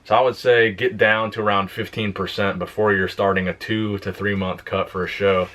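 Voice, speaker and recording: A young man on a fitness channel, casually answering viewer questions in a plain, relaxed way. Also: fairly low pitch, normal speed. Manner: relaxed, plain-spoken, reads questions aloud then answers. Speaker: fitness YouTuber. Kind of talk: casual Q and A vlog.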